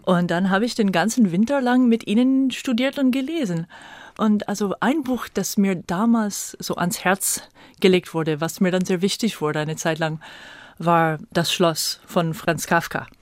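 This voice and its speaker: A woman's voice: medium pitch, unhurried, no room sound. Middle-aged American woman, native English speaker, as interview guest; conversational reminiscing, mid-range voice, dry close sound. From Virginia, United States.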